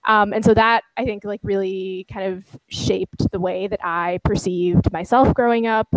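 A young woman from the Philippines, co-hosting a casual podcast and speaking thoughtfully with many pauses and fillers. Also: medium pitch, steady tone, dry recording, rough recording. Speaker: podcast co-host.

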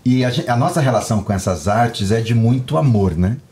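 A man's voice: mid-range voice, lively intonation, fairly quick, a little mellow. Joking Brazilian podcast host man. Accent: Brazilian Portuguese. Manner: joking, laughs often. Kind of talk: playful podcast banter.

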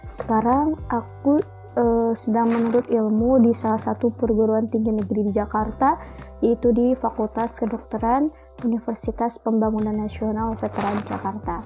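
A woman's voice: on the high side, flat delivery, normal speed, warm tone, faint music behind. A young woman hosting her first solo podcast, talking in a chatty, reflective way with many fillers. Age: young adult. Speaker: podcast host. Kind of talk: casual solo podcast monologue.

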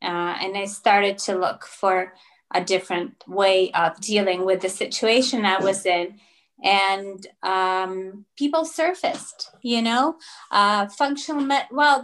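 Casting: woman in her thirties, Russian native speaker, naturopath guest, pauses often, searches for words. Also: mid-range voice, fairly bright tone. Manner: thoughtful, many fillers and restarts. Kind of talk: interview, personal story.

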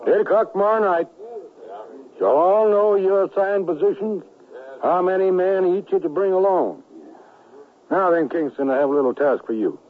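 An actor playing the menacing ringleader of a band of plotters, authoritative and deliberate in old-fashioned radio drama style. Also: male voice, medium pitch, narrow, tinny audio, natural voice. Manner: authoritative, deliberate, smoothly threatening. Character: commanding, menacing male ringleader of secessionist plotters. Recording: band-limited radio broadcast, music behind.

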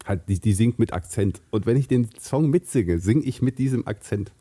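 A man co-hosting a casual podcast, talking haltingly with lots of fillers. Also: medium pitch, expressive, mellow, breathy edge. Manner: hesitant, many fillers. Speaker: podcast co-host. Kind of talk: casual banter.

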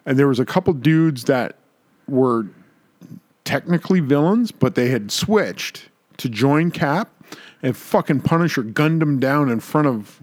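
A man's voice: medium pitch, animated, a little slow, darkish tone, dry recording, studio quality. A man co-hosting a podcast in casual, opinionated chat, making points emphatically and repeating words for stress. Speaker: podcast co-host.

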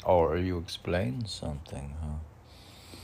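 A man rambling through a podcast of chat and poetry reading, halting and often talking to himself in questions and answers. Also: deep voice, animated, creaky, mellow, slight background noise. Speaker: poet reading and chatting on own podcast.

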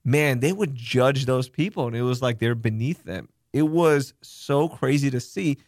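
A young man, born in Pakistan, hosting a casual podcast chat, telling candid, animated stories with some swearing. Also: medium pitch, unhurried, no echo, studio quality. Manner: candid, animated storytelling with profanity. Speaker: podcast host.

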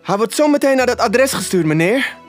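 A man doing an eager, high-pitched little boy. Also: expressive, a little fast, faint music behind. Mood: eager, breathless. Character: eager, polite young errand boy.

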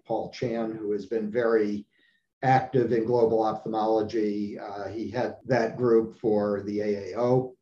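A man from the United States, an eye surgeon being interviewed, explaining his work in a measured way with frequent pauses. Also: fairly low pitch, dark tone, reverberant, dull audio, slight background noise. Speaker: ophthalmologist guest. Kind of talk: conversational interview.

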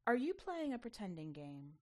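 A woman's voice: on the high side, very animated, moderate pace. A woman voicing a well-meaning, coaxing mother who instructs and cajoles her child in a story reading. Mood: dismissive.

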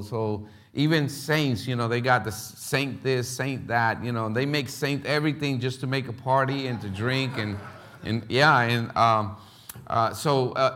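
A middle-aged man from Puerto Rico with a Philadelphia accent, giving his testimony as a missionary in a church, halting with many fillers and restarts. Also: medium pitch, a bit bright.

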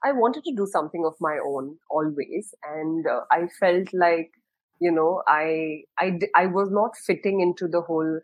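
A woman in her thirties from Dubai talks about her career on a podcast. Her speech is relaxed and reflective, full of small pauses. The recording is dry and close, with no room sound. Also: lowish voice, breathy edge, dry recording, poor audio. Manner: thoughtful, many fillers and restarts. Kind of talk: casual interview talk.

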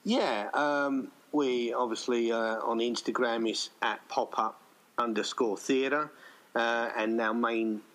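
A man's voice: medium pitch, steady tone, takes it slowly, fairly bright tone. A middle-aged man chatting casually as an interviewed writer-director, rambling and anecdotal. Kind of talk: casual chat.

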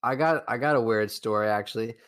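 A man's voice: on the low side, brisk, clean tone. Young man from Newfoundland, rambling. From Newfoundland, Canada. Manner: rambling, animated storyteller. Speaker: podcast co-host. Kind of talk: casual chat.

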